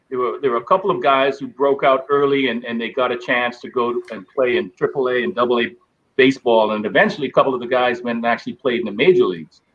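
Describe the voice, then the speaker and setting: A middle-aged man, a guest telling stories in an animated way. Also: medium pitch, highs cut off. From Bahamas. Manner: animated storyteller acting out quoted voices. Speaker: guest, former basketball player. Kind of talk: storytelling interview.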